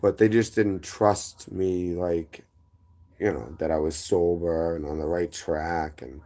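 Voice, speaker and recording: Deep-voiced man with a Boston accent. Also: steady tone, slow pace, mellow. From inner-city Boston. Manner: rambling, drifting between tangents and correcting himself. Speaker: guest. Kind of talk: casual storytelling.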